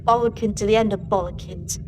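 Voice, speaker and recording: A woman's voice: medium pitch, fairly even intonation, brisk, a little mellow, a little hiss or hum, natural voice. A woman playing a weary, blunt starship master chief in a sci-fi audio drama, with a dry delivery. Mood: grumbling.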